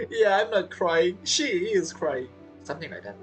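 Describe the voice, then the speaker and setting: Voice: medium pitch, sing-song, unhurried, soft background music. A game streamer giving casual let's play commentary in non-native English phrasing.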